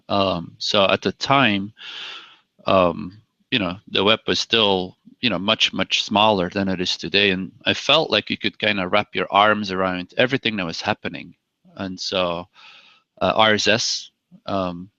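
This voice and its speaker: A man in his thirties from Belgium, speaking relaxed in an interview with many ums and uhs. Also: fairly low pitch, dry recording. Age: 30s. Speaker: guest, software founder. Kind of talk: conversational interview. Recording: dull lo-fi dry audio.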